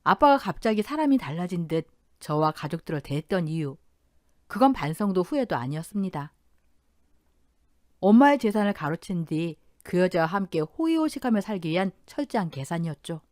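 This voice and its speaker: A woman in her thirties tells a dark family drama in the first person, her voice rising and falling in wide, lively swings. The delivery is intense and emotional. The sound is close and dry, with no room around it. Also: lowish voice, moderate pace, no echo. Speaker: narrator of a first-person story. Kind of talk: dramatic audio-drama storytelling narration.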